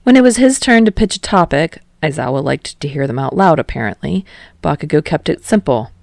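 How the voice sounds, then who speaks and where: A woman reading her own fan fiction aloud as a podfic, in plain, even storytelling. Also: deep voice, a little fast, vocal fry, no echo. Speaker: podfic reader and author.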